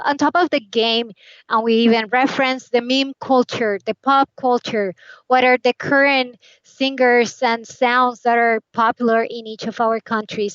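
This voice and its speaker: A woman from Colombia, a native Spanish speaker, talks eagerly about her work in an explanatory way. Her voice sits a bit high, and the recording is dry and somewhat dull. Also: fairly bright tone, no echo. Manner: enthusiastic, explanatory. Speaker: localization guest. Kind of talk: casual interview talk.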